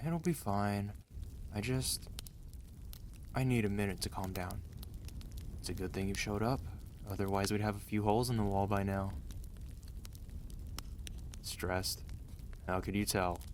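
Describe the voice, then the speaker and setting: A man acting out a weary, protective mafia boss husband in an ASMR roleplay, commanding and dry yet gentle and intimate. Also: fairly low pitch, slight background noise. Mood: tired, softening. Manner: tired, authoritative, dry, intimate.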